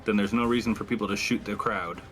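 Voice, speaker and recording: A man's voice: lowish voice, fairly even intonation, fast talker, music in the background. A man roleplaying as a captain in a tabletop game, giving orders and asking questions. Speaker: player as a squad captain.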